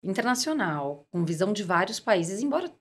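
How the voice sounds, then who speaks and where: A woman's voice: mid-range voice, a little fast, slightly rough. A Brazilian woman in her forties telling career stories conversationally as an interview guest, repeating words for emphasis and acting out quoted remarks. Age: 40s. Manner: animated storyteller, emphatic repetition, quotes dialogue. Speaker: podcast guest, corporate executive.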